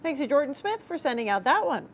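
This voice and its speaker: A woman's voice: high-pitched, very animated, quick pace, a little hiss or hum. An American woman co-anchoring morning TV news, reading teasers and chatting casually with her colleague.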